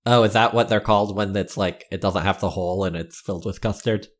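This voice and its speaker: A man co-hosting a podcast, chatting casually and thinking out loud as he goes. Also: fairly low pitch, fairly even intonation, fast talker. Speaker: podcast co-host. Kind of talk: casual chat.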